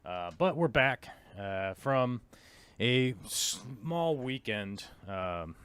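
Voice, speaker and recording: A man hosting a live stream from his home office, hoarse and losing his voice, chatting casually and chuckling often as he rambles. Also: mid-range voice, big pitch swings, extremely slow, a bit bright.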